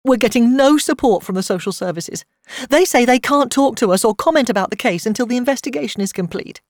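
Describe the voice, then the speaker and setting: Woman acting a tearful, high-voiced distraught foster mother. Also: expressive, drawn-out words, a little mellow, no room sound, clean studio sound. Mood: distraught, indignant. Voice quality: trembling, breaking with sobs. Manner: emotional, indignant outbursts.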